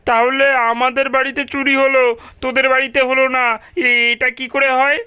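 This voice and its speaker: A woman's voice: high voice, flat delivery, normal speed, pushed and bright, clean tone. A woman voicing an anxious, puzzled village boy in a cartoon. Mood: worried. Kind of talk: cartoon voice acting.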